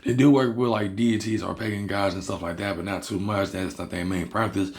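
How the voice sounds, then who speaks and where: A man from Barbados with a Bajan accent, hoarse from losing his voice, explaining a topic in a rambling, repetitive casual monologue as a video host. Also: lowish voice, steady tone, a little fast. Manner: rambling, repeats himself and restarts words. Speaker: hoodoo practitioner and video host.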